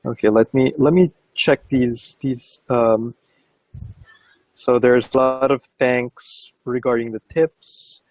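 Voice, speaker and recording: A man's voice: mid-range voice, fairly even intonation, slow pace, a little mellow, no room sound, rough recording. A Filipino man whose first language is Bisaya, hosting a live interview in a casual, hesitant way. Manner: casual, hesitant, many fillers. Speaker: host.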